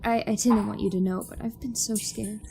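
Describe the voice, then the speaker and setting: An actress playing a frightened, gentle sweetheart in an old-time radio thriller. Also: female voice, medium pitch, steady tone, a little fast, vocal fry, noisy background, close to an everyday voice. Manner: soft-hearted, anxious questioning. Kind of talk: 1930s-style radio thriller acting.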